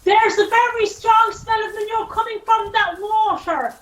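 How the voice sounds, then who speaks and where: A woman acting an indignant, scolding, sharp-tongued Irish racehorse owner in an amateur radio play. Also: very high-pitched, steady tone, measured pace. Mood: suspicious, insistent. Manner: scolding, demanding. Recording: roomy, distant mic with hiss.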